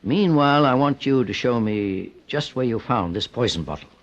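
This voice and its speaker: An actor as a shrewd, composed radio detective boss. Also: male voice, medium pitch, expressive, moderate pace, close to an everyday voice. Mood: coolly probing. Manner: probing, authoritative, formal. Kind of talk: old-time radio detective drama acting. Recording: dull old radio recording.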